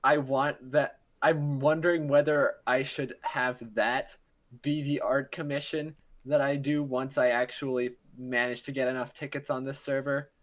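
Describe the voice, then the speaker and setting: A man's voice: mid-range voice, level tone, a little slow. A man hosting a casual podcast call, joking playfully and rambling between ideas. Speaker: podcast host.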